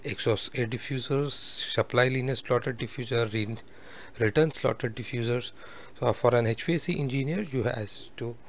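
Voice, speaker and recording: A man giving a step-by-step technical tutorial over a screen recording, explaining methodically with worked examples. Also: mid-range voice. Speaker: instructor.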